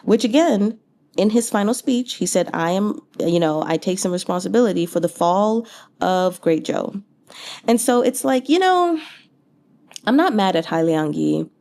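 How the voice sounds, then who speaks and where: A woman's voice: fairly low pitch, mellow. A woman giving casual, rambling book commentary as a channel host, thinking aloud in an informal, chatty way with slangy asides.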